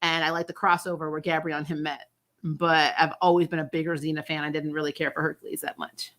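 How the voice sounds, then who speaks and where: A woman's voice: low-pitched, flat delivery, fast talker, fairly bright tone. A woman hosting a recap show, giving casual, opinionated fan commentary in a blunt, conversational way.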